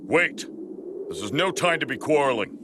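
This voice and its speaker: A man voicing a gruff, curt fighter in a video game, quick to object. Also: medium pitch, very animated, background noise, natural voice. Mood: irritated. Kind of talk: animated video game voice acting.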